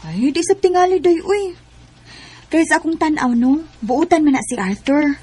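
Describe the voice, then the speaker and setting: A young Bisaya Filipino woman talks like a close friend. She is colloquial, practical and gently persuasive, in radio drama style. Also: high voice, animated, moderate pace. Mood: encouraging.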